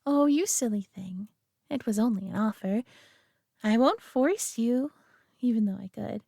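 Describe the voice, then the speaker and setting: A woman acting as an alien mistress with a high, feminine voice, recorded close and dry. She dotes possessively on her pet human, coddling and gushing with gentle condescension. It is intimate roleplay audio drama. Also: animated, measured pace, vocal fry, dry recording, full character voice. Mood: soothing, possessive.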